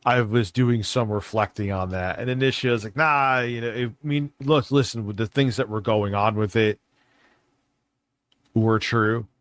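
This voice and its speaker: A man's voice: on the low side, steady tone, moderate pace, fairly bright tone, dry recording, studio quality. A man talking casually off the cuff as an online creator, blunt, candid and prone to swearing. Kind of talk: casual unscripted monologue.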